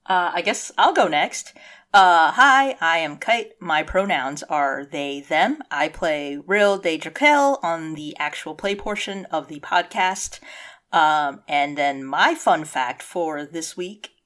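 A woman whose first language is Cantonese, chatting casually on a podcast. She wanders through her points with fillers and laughter. Her voice sits a little low. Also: animated, takes it slowly, a bit bright. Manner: rambling, laughing, many fillers. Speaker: podcast co-host. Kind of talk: casual chat.

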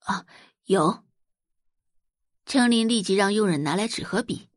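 A woman reading scripted fiction narration as an audiobook narrator, in an expressive storytelling style. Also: mid-range voice, brisk, no room sound, clean studio sound.

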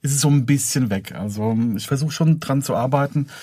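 Husky man in casual interview. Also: medium pitch, a little fast, mellow, dry recording. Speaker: audio drama producer, interview guest. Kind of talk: casual, reflective interview talk.

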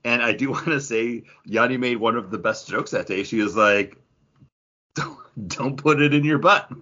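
A man's voice: medium pitch, moderate pace, sharp tone, highs cut off. A man chatting casually and jokingly as a podcast host. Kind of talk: casual banter on a comics podcast.

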